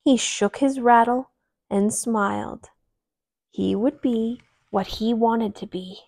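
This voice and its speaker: A woman in her thirties reading a picture book aloud to young children in an expressive, animated storytelling way. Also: on the high side, slow, vocal fry. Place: small living room, indoors. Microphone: clip-on lapel mic.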